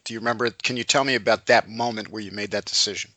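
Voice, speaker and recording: A male podcast host asks conversational, probing questions. His voice is very bright and tense, and it sits somewhat low. The sound is dry. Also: steady tone, quick pace, no room sound. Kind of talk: interview questions.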